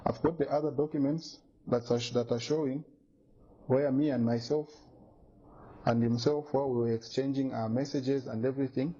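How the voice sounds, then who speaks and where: Man, husky low-toned voice, insistent and aggrieved, muffled lo-fi audio. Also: fairly even intonation, normal speed, mellow. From Zambia. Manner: indignant, insistent, repeats points. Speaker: businessman making a complaint. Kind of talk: emphatic accusatory statement to media. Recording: dull lo-fi recording.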